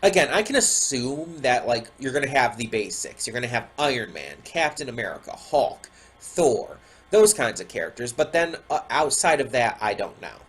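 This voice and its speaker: A man's voice: mid-range voice, big pitch swings, moderate pace, fairly bright tone, slightly rough, poor audio. Stuffy-nosed man chatting casually. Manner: rambling, many ums and restarts. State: stuffy nose, slight sore throat. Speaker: reaction video host. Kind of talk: casual chat to camera. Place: home room with a window.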